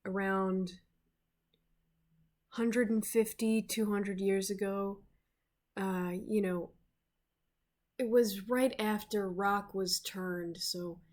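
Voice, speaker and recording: A player voicing a centuries-old undead man who hosts old friends and speaks slowly with hesitant pauses. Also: sits high, a little mellow. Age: centuries-old, looks adult. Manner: slow, halting, hesitant with fillers. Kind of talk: in-character roleplay speech.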